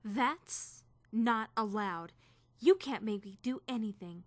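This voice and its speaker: A teenage girl student, acted by a woman, earnest and stiffly principled. Her voice is high and she speaks formally, with indignant emphasis, as in a dramatic visual novel. The recording is close and dry. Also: slow, dry recording. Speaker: voice actor in a fan dub. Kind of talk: dramatic first-person visual novel narration and dialogue.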